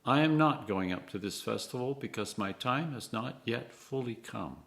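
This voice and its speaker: A clergyman reading scripture aloud in an expressive, measured way, phrase by phrase, with emphatic stresses. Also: medium pitch, vocal fry.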